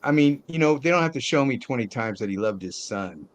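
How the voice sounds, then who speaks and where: A man's voice: medium pitch, expressive, brisk. A man hosting a movie talk show in a casual, conversational style.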